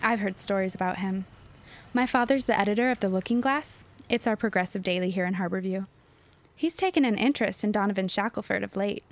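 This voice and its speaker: A woman's voice: mid-range voice, brisk, natural voice. A woman playing a gossipy, scheming teenage schoolgirl with an emphatic, melodramatic manner in a period audio drama. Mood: gossipy disdain. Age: teenage character.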